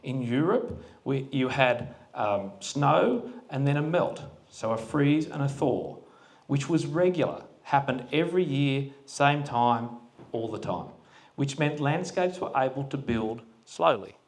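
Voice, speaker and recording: A man speaking to a live audience at a forum in a relaxed, plain-spoken way, often putting questions to the room. His voice sits at a middle pitch for a man, and he talks into a handheld microphone. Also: a little slow. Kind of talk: casual public talk to an audience. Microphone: handheld microphone that cut out.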